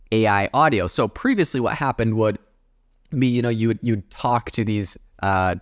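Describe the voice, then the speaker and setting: A man with a fairly low voice talks through tech news as a podcast host. His delivery is casual and explanatory, loosely worded with plenty of informal hedging phrases. The sound is dry and close, with little room in it. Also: no room sound. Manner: informal, hedging with frequent "kind of" and "you know".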